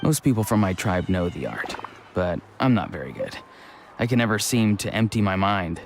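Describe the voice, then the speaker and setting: A man's voice: sits low, very animated, moderate pace, soft background music. A man voicing an earnest, restless young villager with a casual, frank manner in an anime-style video game. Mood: candid. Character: restless, earnest young male villager, mild reformed troublemaker. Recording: faint background ambience.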